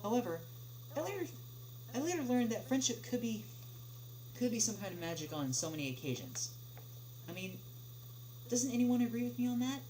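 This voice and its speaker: A woman hosts an informal livestream table read. She speaks haltingly, stumbling over words as she moves between reading and chat. The recording has noise and a hum. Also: a little high, very animated, warm tone. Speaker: livestream host. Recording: noisy home livestream with hum.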